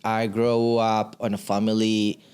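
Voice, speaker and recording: A man's voice: lowish voice, completely flat delivery, a little slow, a little mellow, smooth. A man in his thirties from Ecuador, speaking English with an Ecuadorian Spanish accent, telling his story earnestly and restarting phrases as a podcast guest. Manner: earnest, searching for words with restarts. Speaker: guest, immersive media artist. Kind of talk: casual interview talk. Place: podcast studio with sofas. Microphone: studio mic on boom arm.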